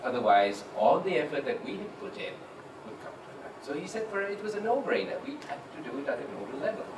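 A man speaking as an author on a panel, animated and anecdotal, into a handheld mic in a large hall with an audience. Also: fairly high pitch, quick pace. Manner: animated, quotes people vividly. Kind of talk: panel discussion, storytelling. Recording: roomy hall, distant mic, room noise.

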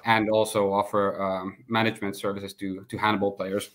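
Thirties man from Finland, low monotone, guest talking business over a webcam call. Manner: measured, flat delivery with filler pauses. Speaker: guest, sports consultant. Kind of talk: video call interview. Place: home room, indoors. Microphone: laptop webcam on video call.

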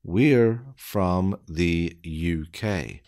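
A man reading an English listening quiz for learners, with deliberate, evenly spaced phrasing. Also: deep voice, darkish tone. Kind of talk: slow scripted quiz reading.

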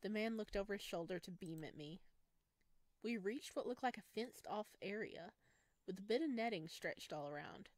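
A woman streaming a visual novel, reading the story aloud and breaking in with excited, gushing asides about cute things. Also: mid-range voice, moderate pace, no echo. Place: close indoor mic, voice over game footage.

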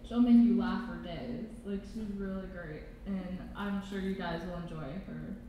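A woman's voice: lowish voice, moderate pace, mellow. A young woman on a student panel, talking casually into a handheld mic in a large hall. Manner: casual, uses "like". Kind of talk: panel presentation. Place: large reverberant college hall. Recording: echoey hall, dull sound, faint noise.

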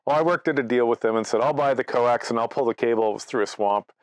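A man's voice: mid-range voice, fast, no room sound, poor audio. A man, giving an informal presentation as a conversational, anecdotal storyteller. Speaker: amateur radio presenter. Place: outdoors by trees at a cottage.

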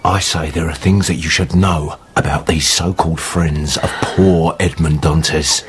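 An actor playing a garrulous, wily middle-aged innkeeper in a radio drama, rambling and conspiratorial. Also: male voice, rumbling low, fairly even intonation, measured pace, soft background music. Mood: wily, cajoling.

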